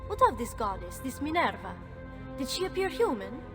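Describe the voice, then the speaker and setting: An inquisitive, wonderstruck noblewoman in a game drama. Her voice is high, echoing in a hall with music behind. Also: very animated, moderate pace. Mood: amazed, curious. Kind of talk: dramatic video game acting.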